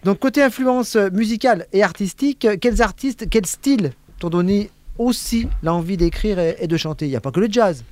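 Chatty male radio host, slightly high voice. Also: normal speed, hardly any pauses. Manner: relaxed, chatty, reads introductions. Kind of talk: radio interview hosting.

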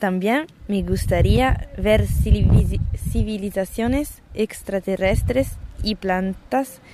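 A woman's voice: medium pitch, slow pace. A woman talking spontaneously in a workshop, on a noisy location recording.

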